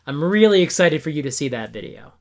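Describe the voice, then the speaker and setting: A slightly hoarse man with a bit of gravel in his voice, hosting a tabletop game video and explaining ideas earnestly with examples. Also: fairly high pitch, very animated, fairly quick.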